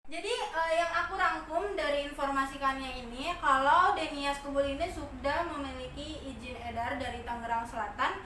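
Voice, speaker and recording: A woman's voice: sits high, normal speed, few pauses, sharp tone. A young woman, hosting a casual promotional chat in an informal, chatty way. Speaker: podcast host interviewing a business owner. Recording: echoey room, distant mic, faint noise.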